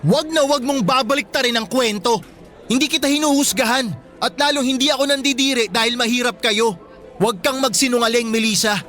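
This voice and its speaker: A reproachful, well-off Filipino teenage boyfriend in a radio romance drama. His voice is strikingly high and forceful. He scolds with heavy emphasis over faint music. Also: brisk. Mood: indignant. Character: indignant rich teenage boyfriend, reproachful, principled.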